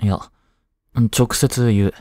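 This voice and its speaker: A man's voice: medium pitch, fairly even intonation, takes it slowly, dark tone, no room sound, clean studio sound, lightly acted. A young man playing a lovestruck, jealous schoolboy in a romantic voice drama, speaking casually with hesitations and sighs. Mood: teasing, then resolute. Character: lovestruck, jealous teenage schoolboy childhood friend. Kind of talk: Japanese romantic voice drama acting.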